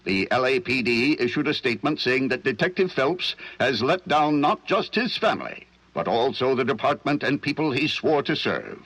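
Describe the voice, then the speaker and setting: A man's voice: medium pitch, sing-song, moderate pace, few pauses, fairly bright tone. An American man announcing news and adverts in polished, emphatic 1940s radio style. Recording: reverberant old-time radio sound.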